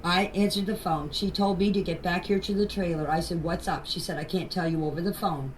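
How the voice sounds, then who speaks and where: A woman's voice: on the low side, fairly even intonation, a little fast. An older woman from Canada gives an earnest, defensive personal account in a voice message, insisting on her points and repeating them. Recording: distant, noisy phone voice message.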